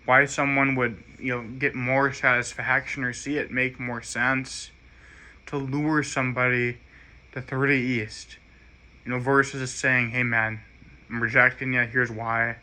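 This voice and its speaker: An American man giving a rambling, halting personal monologue in an earnest, aggrieved manner. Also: mid-range voice, level tone, pushed and bright.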